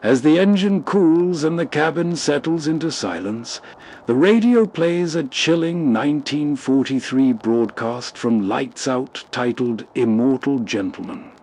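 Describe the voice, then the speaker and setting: A man's voice: mid-range voice, unhurried, darkish tone, low-quality recording. A man hosting with scripted, cozy bedtime narration. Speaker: host.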